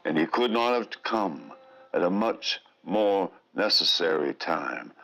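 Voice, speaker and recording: A man's voice: sits low, sing-song, takes it slowly, creaky, fairly bright tone, highs cut off. A man narrating a scripted fantasy tale in a theatrical, portentous radio drama manner. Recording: music under the voice. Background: prominent music.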